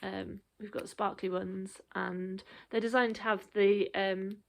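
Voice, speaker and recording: Chatty woman, slightly gravelly. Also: medium pitch, flat delivery, measured pace. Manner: chatty, rambling with many ums. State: out of breath. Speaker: cross stitch vlogger. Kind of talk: casual show-and-tell.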